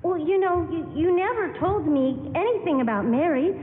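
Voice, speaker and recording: An actress playing an eager, nosy teenage daughter in a 1940s-style radio drama, gushing and pestering. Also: female voice, very high-pitched, lively intonation, moderate pace, narrow, tinny audio. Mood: curious, pestering. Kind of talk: 1940s radio drama acting before a live audience. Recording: echoey hall, faint noise, band-limited radio broadcast.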